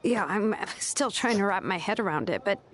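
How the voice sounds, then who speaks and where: A woman's voice: medium pitch, expressive, normal speed, a little hiss or hum, natural voice. A woman voicing a cheeky, laid-back young woman in a game who teases her friend and laughs a lot. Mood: teasing. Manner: teasing, laughing, sleepy drawl. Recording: game dialogue over music.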